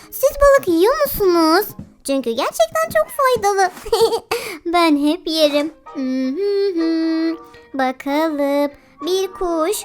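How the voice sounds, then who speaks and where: A woman doing playful game commentary for children, cooing and exclaiming as she talks to animals and young viewers, often giggly. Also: very high voice. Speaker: children's game video host. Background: background music.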